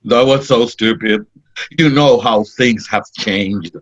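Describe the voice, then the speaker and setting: A fussy, indignant Puerto Rican man, played by an actor with a Puerto Rican accent. He talks in a sarcastic, complaining way, at a middle pitch. The audio is dull and lo-fi. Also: slow pace. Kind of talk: play reading.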